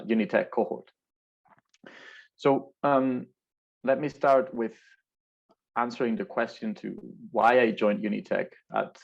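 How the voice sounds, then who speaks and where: A young Spanish man talks earnestly through a video call, his low voice carrying a gravelly edge. He often doubles his words while finding his way. The audio is dull and lo-fi. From Spain. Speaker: alumni speaker. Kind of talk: virtual presentation.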